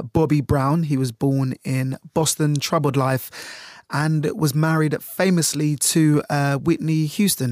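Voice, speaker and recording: A man's voice: on the high side, no echo. A man from Jamaica with a Jamaican accent, a radio news presenter chatting loosely in an interview, dry in humour and full of fillers. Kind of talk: relaxed interview chat.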